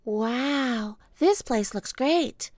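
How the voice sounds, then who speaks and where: A woman's voice: on the high side, expressive, extremely slow, dry recording, pitched above the performer's own voice, full character voice. A woman voicing an eager, adventurous little girl in a children's storybook, with childlike enthusiasm. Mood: eager, excited. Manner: bright exclamations, childlike enthusiasm.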